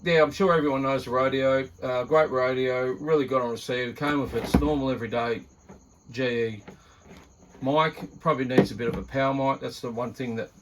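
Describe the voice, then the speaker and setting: Australian man over loud radio static. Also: medium pitch, steady tone, moderate pace. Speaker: radio hobbyist presenting a video. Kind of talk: casual equipment demonstration. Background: CB radio static and faint transmissions.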